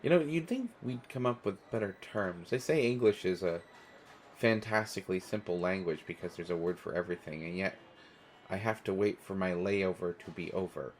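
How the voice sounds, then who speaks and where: A man's voice: deep voice, moderate pace, a little hiss or hum, lightly acted. A man playing a stressed, self-deprecating airport stranger in a conversational audio roleplay, talking in hesitant, rambling stammers. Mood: awkward, chatty.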